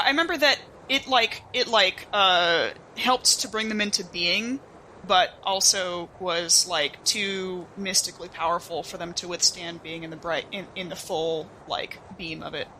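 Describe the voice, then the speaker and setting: A woman's voice: fairly low pitch, measured pace, bright, forceful tone, a little hiss or hum, low-quality recording. A woman chatting casually as a player in a tabletop role-playing game.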